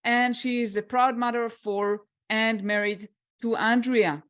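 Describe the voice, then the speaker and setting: A woman's voice: on the high side, fairly even intonation, measured pace. A woman who hosts a podcast, introducing and thanking her guest graciously and asking her questions. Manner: gracious, thankful, lavish praise. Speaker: podcast host. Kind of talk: podcast hosting and interviewing.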